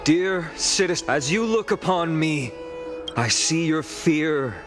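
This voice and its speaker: A man's voice: on the high side, expressive, slow, over music. An actor voicing an earnest, humble young man in a game, a former student who speaks expressively and sighs often. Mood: impassioned. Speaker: student giving a public speech. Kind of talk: video game voice acting.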